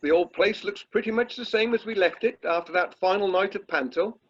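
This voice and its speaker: A man's voice: high voice, brisk. An amateur actor playing a pompous, irritable middle-aged British drama society chairman in a radio play. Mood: wistful, grand. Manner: pompous, self-dramatising, exasperated. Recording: muffled, lo-fi video call audio.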